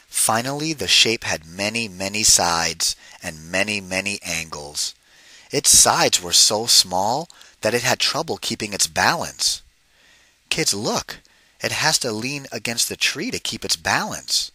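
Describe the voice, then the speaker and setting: A man reading a picture book aloud to children as a teacher, deliberate and emphatic, addressing them directly. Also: fairly low pitch, bright, forceful tone, dry recording. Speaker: read-aloud narrator and teacher.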